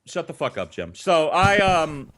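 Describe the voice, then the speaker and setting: A man's voice: on the high side, big pitch swings, measured pace, fairly bright tone. A man hosting a casual talk show, telling stories and bantering with crude, profane teasing. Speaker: storytelling talk show host. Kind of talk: casual group banter and storytelling.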